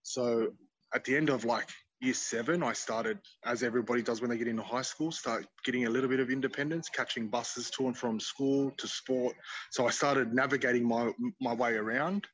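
An Australian man, a podcast guest, telling his life story in an earnest, steady way with many fillers. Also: mid-range voice, fairly quick, slightly rough, no room sound, highs cut off. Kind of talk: personal storytelling.

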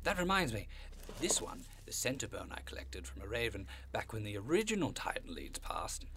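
A man playing a genteel, hospitable and macabre devil-like gentleman in an audio drama, effusive and quaintly polite in a theatrical way. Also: lowish voice, sing-song, normal speed, noisy background. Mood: chatty.

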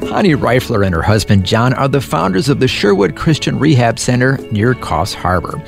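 A man hosting a radio interview in a friendly, measured way. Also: medium pitch, very animated, vocal fry, over loud music. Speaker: radio host.

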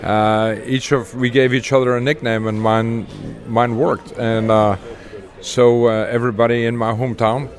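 An older man from Belgium with a Belgian accent, speaking haltingly with many fillers as an interview guest. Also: mid-range voice, little pitch movement. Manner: halting, many uhs, chuckles. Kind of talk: casual interview chat.

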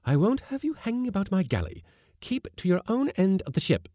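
A fierce, hot-tempered woman cook from a seafaring storybook, acted out by a man. The voice is pushed well up high and swings widely as it scolds and hectors with loud indignation. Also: very animated, fairly quick, dry recording, studio quality. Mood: bossy threatening. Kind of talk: storybook character voice in audiobook.

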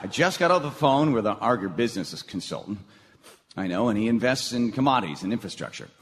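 An eager young go-getter in a stage radio comedy. His mid-pitched voice moves up and down freely, recorded in a big reverberant hall with some noise. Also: sing-song, fast talker. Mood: earnest, persuasive. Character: earnest, eager young man pitching bold plans. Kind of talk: lighthearted radio theatre acting.

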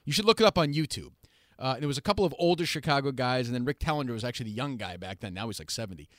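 A man in his forties hosting an interview, teasing his guest with rambling, animated questions. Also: medium pitch, very fast, no echo, studio quality. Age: 40s. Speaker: host. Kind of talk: casual interview chat.

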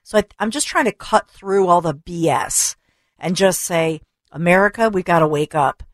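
A talk radio host, a woman, delivering an opinionated rant full of rhetorical questions and repetition, her voice going hoarse. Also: lowish voice, normal speed, no room sound, clean studio sound. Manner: emphatic, rhetorical questions, repeats for stress. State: losing her voice. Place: radio studio. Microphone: studio microphone.

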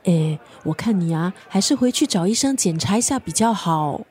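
A woman's voice: lowish voice, expressive, normal speed, darkish tone, breathy edge. A woman with a Singaporean accent acting a bossy, teasing but caring best friend, scolding in a radio drama. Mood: teasing, concerned. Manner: scolding, mock-indignant.

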